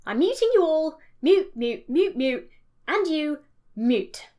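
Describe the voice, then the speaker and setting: A woman's voice: sits high, expressive, slow, mellow. A woman playing an easily exasperated, sarcastic show host in an audio comedy. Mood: exasperated, indignant. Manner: sarcastic, indignant. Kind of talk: audio comedy sketch acting.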